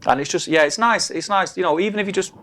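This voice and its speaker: A man's voice: high voice, quick pace, bright, forceful tone. A man hosting a casual gaming live stream, friendly and upbeat as he talks directly to viewers. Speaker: host of a wargaming stream.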